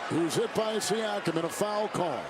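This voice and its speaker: A man's voice: sits high, normal speed. A man calling a basketball game as play-by-play announcer, in clipped, punchy phrases. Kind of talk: live basketball TV commentary. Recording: very loud arena crowd noise. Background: crowd and bouncing basketball.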